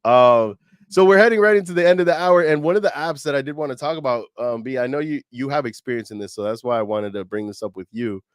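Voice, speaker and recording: A man co-hosting a podcast in a loose, animated way, dropping slang and fillers as he talks. His voice sits in an ordinary middle pitch. The sound is close and dry, with no room around it. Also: fast talker, smooth, no echo. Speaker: podcast co-host. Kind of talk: casual podcast chat.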